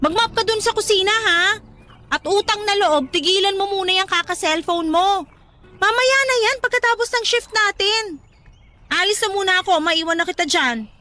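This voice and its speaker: A woman's voice: extremely high pitch, moderate pace, pushed and bright. A woman playing a sensible, exasperated young cousin and coworker who nags and admonishes in a Tagalog radio drama. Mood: reproachful. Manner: nagging, admonishing.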